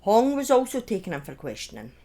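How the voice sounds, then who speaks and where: A woman telling a true-crime story in a conversational, partly scripted way, with informal asides, opinions and halting phrasing. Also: medium pitch, very animated, warm tone.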